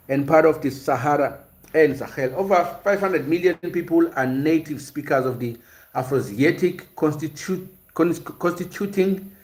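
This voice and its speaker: Higher-pitched man giving a halting lecture. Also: darkish tone. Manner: explanatory, hesitant, with frequent "uh" and repeated words. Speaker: host of a language and culture series. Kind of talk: live-stream lecture, reading chat and answering viewers.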